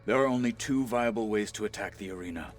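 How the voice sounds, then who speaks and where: A stoic warrior, played by a male actor, with a very low voice and a grave, measured delivery. It is game drama with faint music underneath. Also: lightly acted. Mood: businesslike. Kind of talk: video game cutscene acting.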